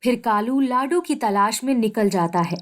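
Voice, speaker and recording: A woman's voice: a little high, lively intonation, normal speed. A woman narrating a scripted children's story.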